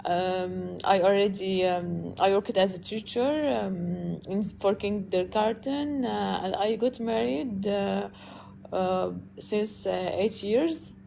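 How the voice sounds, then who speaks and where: Woman, 30s, from Syria, hesitant halting English, gravelly, faint background noise. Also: medium pitch, warm tone. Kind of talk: casual chat.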